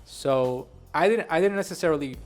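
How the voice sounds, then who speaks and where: A man from New York, of Colombian family, co-hosting a casual podcast, relaxed and hesitant with many ums and restarts. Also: on the high side, a little fast, faint music behind. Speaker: podcast co-host. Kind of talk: casual chat.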